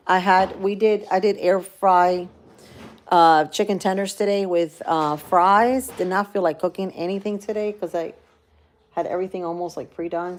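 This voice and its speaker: A woman with a Cuban accent chats casually on a livestream, talking straight to her viewers and reading their comments aloud. Her voice sits a little low, and she rambles along with plenty of fillers. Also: slight background noise. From grew up in Florida. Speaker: livestream host.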